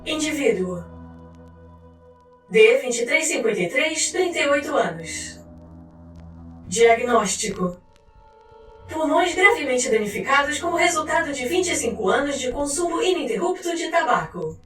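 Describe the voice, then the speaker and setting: A high-pitched female narrator with a Brazilian accent delivers a formal, clinical document reading. Her voice carries a clear room echo and sits a little way from the mic, over music. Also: sing-song, unhurried, pauses often. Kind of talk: scripted narration of a fictional scientific file. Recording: roomy echo, distant mic, faint music.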